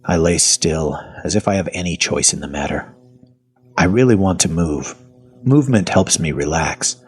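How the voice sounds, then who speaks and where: An actor playing a weary, sardonic captive who narrates his own horror story in wry, deadpan, clipped phrases with a husky voice. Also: low voice, moderate pace. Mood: wry narration. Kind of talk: first-person audio fiction narration.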